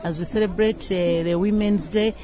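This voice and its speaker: A woman's voice: mid-range voice, fairly even intonation, moderate pace, dark tone. Female management lecturer, Ugandan accent, formal spoken interview answers.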